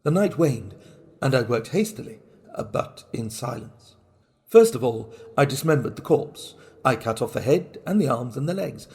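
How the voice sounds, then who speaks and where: Theatrical mad murderer, acted by a man, creaky voice. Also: medium pitch, lively intonation, darkish tone. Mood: cold, smug. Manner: theatrical, deliberate, intense, broken into short phrases. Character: mad, guilt-racked murderer boasting of his cunning. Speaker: reader of a classic horror story. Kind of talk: dramatic first-person storytelling.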